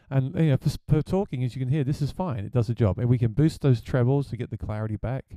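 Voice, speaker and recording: A man with a deep voice, a gigging musician, chatting plainly through a gear review. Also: a little fast, dark tone, dry recording.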